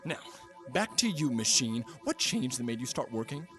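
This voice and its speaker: A man's voice: medium pitch, sing-song, normal speed, a bit bright, faint music behind. A man playing a hapless, hard-drinking scientist-inventor in an audio drama, ranting with exasperated disbelief. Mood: manic, defiant.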